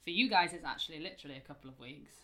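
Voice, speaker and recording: A woman's voice: deep voice, racing, crowd noise. A British woman hosting a podcast, chatting eagerly and laughing easily with her guest. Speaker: podcast host. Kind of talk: casual interview chat. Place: indoors in a home.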